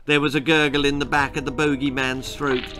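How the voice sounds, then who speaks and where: High-voiced man telling a dramatic children's story. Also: level tone, brisk, bright, forceful tone. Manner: theatrical, suspenseful, emphatic. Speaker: author reading his own children's story.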